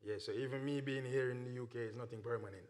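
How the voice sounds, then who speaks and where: A man's voice: mid-range voice, steady tone, fast talker, a little mellow. Slangy, emphatic Jamaican man chatting. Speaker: dancehall artist being interviewed. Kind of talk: casual interview chat.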